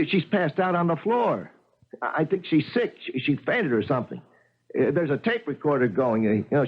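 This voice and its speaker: An actor in a 1970s radio drama playing a friendly, flustered doorman, husky and talkative, stammering and repeating himself. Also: fairly high pitch. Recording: muffled 1970s radio broadcast recording.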